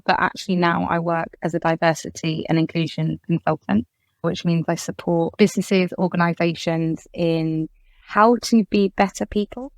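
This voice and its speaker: A woman's voice: on the low side, no room sound. An English woman in her thirties chatting as a podcast guest, talking freely and filling with "like" and "I guess". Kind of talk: casual interview chat.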